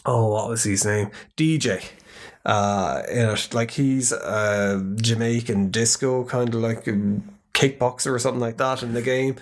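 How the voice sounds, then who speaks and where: Man, casual podcast co-host, rambling and animated, mid pitch. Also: a little slow. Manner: rambling, animated, acts out quotes, swears. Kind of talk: casual chat.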